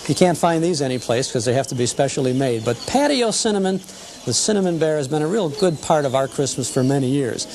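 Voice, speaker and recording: A man's voice: a little high, lively intonation, fairly quick, darkish tone, slight background noise. A man hosting a nostalgia TV show in an informal, folksy, chatty way with hesitant phrasing. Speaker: host.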